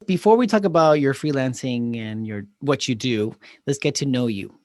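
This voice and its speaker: A man's voice: medium pitch, lively intonation, normal speed, darkish tone, dry recording, studio quality. A man hosting a local podcast, reading an upbeat intro and asking questions. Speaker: podcast host.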